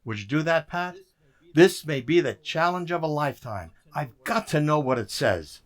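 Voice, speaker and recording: A man's voice: fairly high pitch, animated, normal speed, noisy background, lightly acted. A man playing an eager, intrigued code expert in a radio drama, musing with emphasis. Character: intrigued, eager male code expert puzzling over symbols. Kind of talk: radio theater acting.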